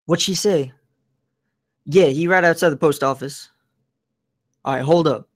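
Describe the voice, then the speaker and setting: Man as higher-voiced streetwise teen girl. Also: unhurried, lightly acted. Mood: alert. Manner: clipped street slang. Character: streetwise teenage girl lookout. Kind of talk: table read of a TV drama script.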